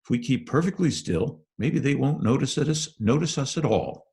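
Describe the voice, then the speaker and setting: A man voicing a nervous young boy in a storybook, speaking in hushed, urgent, folksy dialect. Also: mid-range voice, expressive, moderate pace, gravelly, pitched above the performer's own voice. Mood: urgent, tense.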